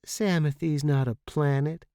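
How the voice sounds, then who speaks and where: A man's voice: on the high side, steady tone, takes it slowly, dark tone, smooth, no room sound, studio quality, voice pushed up. A man voicing a bold, flirty female space freighter captain in an audio story, playful and folksy. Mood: teasing.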